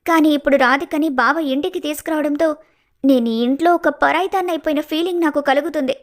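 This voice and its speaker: A woman voicing a gentle, heartbroken young village woman in an animated family story, earnest and sorrowful. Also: high-pitched, fairly quick, close to an everyday voice. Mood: sad, questioning. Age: young adult.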